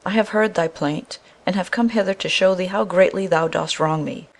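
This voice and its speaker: A woman's voice: lowish voice, normal speed, vocal fry. A woman voicing a mighty male god of thunder in a storybook, speaking in grand, formal, archaic proclamations. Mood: lordly.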